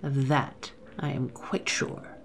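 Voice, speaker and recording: A woman reading the part of a cold, sneering male robber who plots a murder in a soft, contemptuous voice. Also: low voice, animated, normal speed, a little hiss or hum.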